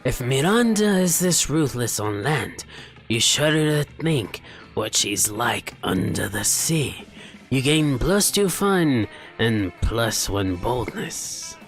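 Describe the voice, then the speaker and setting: A man narrates a video game playthrough with a very animated, swooping delivery at a medium pitch. He reads theatrically and drops into casual commentary, with music underneath. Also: takes it slowly. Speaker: gaming video host.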